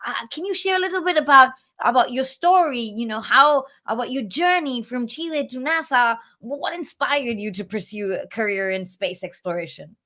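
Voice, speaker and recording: Woman interviewer, fairly high voice, eager and enthusiastic. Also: animated, normal speed, few pauses, sharp tone. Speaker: interviewer, council director.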